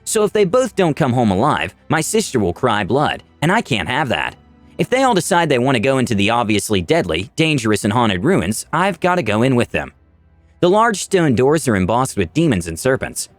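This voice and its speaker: A man's voice: mid-range voice, sing-song, moderate pace, dry recording. A man narrating a scripted first-person fantasy adventure story with dramatic delivery. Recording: background music under dry voice.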